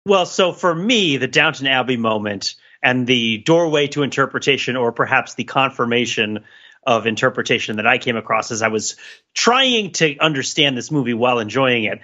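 A man's voice: medium pitch, lively intonation, moderate pace, bright, forceful tone, lo-fi sound. A man on a podcast giving casual, analytical film commentary in a wordy, playful, explanatory way. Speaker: podcast panelist.

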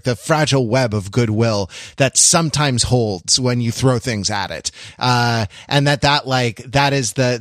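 A man hosting a podcast, talking casually and analytically, halting and digressive as he thinks aloud. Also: medium pitch, fairly bright tone, dry recording, studio quality. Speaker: podcast host. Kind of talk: casual analytical discussion of a TV show.

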